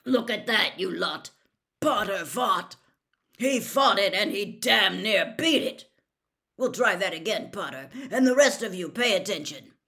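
A woman voicing a gruff, grizzled old male wizard teacher who growls and barks his commands loudly and slowly. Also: mid-range voice, expressive, full character voice. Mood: rough approval. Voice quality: growling, gravelly. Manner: barking commands, loud and slow.

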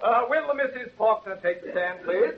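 An actor playing a pompous, badgering courtroom attorney, declamatory and insistent, in an old radio drama. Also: male voice, sits high, expressive, normal speed. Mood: formal, pompous. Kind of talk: 1930s radio acting. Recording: dull, noisy 1930s radio recording.

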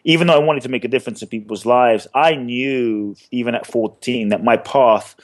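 A man's voice: medium pitch, normal speed. A man raised in London telling his life story as a podcast guest, earnest and repeating phrases for emphasis. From born in Ghana, grew up in London. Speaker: guest, speaker and teacher. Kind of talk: personal story in a podcast interview.

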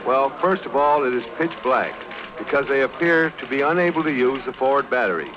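A man's voice: mid-range voice, expressive, fairly quick. A man explaining as an expert guest in a formal 1930s radio interview. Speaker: submarine expert being interviewed. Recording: dull lo-fi 1930s radio, music behind.

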